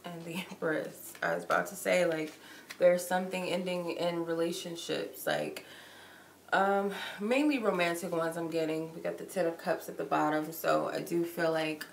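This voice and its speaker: A woman reads tarot on a live stream, her nose stuffed up from a cold. Her voice sits low and gravelly, and she rambles casually with plenty of likes and ums. The sound bounces around an echoey room over a steady background noise. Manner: chatty and loose, many likes and ums. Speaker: tarot reader.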